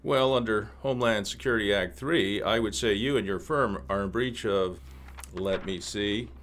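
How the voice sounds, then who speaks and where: A man playing a suavely threatening government official in a radio play, condescending, formal and deliberate. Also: lowish voice, fairly bright tone, slight background noise, natural voice. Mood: smug menace.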